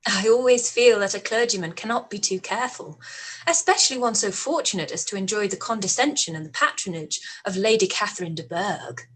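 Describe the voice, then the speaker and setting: Woman playing a tart, husky young gentlewoman. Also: mid-range voice, brisk. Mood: cool sarcasm. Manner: tart, dryly sarcastic. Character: sharp-witted, defiant young gentlewoman. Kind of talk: live-read period radio play.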